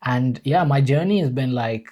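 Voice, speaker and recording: A man's voice: medium pitch, moderate pace, mellow, lo-fi sound. A man from India with an Indian accent, telling his life story earnestly and enthusiastically in a casual podcast conversation. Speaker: podcast guest, usual host.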